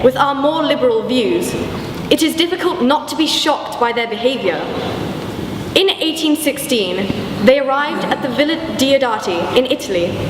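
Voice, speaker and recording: A young woman reading scripted, documentary-style narration in an echoey hall with background noise. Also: high-pitched. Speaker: pupil narrator.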